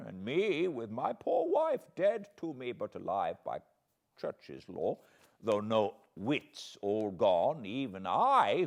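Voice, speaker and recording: Slow, deep old professor. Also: male voice, heavily acted. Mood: wry. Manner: halting, dramatic foreign phrasing with inverted grammar. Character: old Dutch professor, grieving, philosophical, wry, fatherly. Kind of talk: audiobook character reading.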